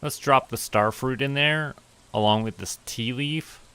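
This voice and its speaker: Bright-voiced man musing while gaming. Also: mid-range voice, clean tone. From Canada. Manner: slow, musing, talks to himself while playing. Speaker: VTuber streamer. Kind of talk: relaxed gameplay commentary.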